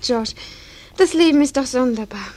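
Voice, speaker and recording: A woman playing a shy, tender teenage girl in love, with a breathy, hushed voice, in a German radio play. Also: sits high, animated, moderate pace. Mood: tender, moved.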